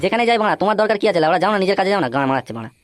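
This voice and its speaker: A man's voice: high-pitched, animated, racing, dry recording, soft background music. A man voicing a foul-mouthed, blustering young thief in a comic cartoon. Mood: defiant, annoyed. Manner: swearing constantly, blustering. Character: foul-mouthed brash young male neighbourhood thief, defiant.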